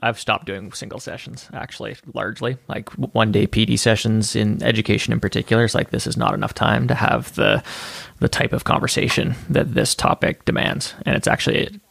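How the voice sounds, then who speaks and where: Gravelly, soft-spoken male interviewer. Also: on the low side, fairly even intonation, a little fast, no echo. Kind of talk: conversational interview.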